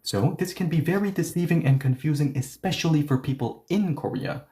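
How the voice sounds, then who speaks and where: A man teaching a language lesson who explains patiently, often pausing on "okay, so" and "yeah", and switches between explanations and slow model readings. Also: fairly high pitch, mellow.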